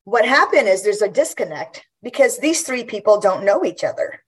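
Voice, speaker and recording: A woman's voice: mid-range voice, sing-song, normal speed, slightly rough. A woman in her fifties from Guam, chatting casually as an interview guest, laughing often and restarting her sentences. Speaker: guest athlete.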